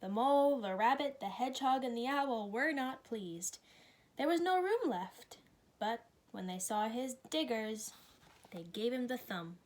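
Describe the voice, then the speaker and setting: A woman with a fairly high voice reads a story for children. She phrases each part clearly and puts expression into the telling, as a storybook reader does. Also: animated, unhurried. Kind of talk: children's story read aloud.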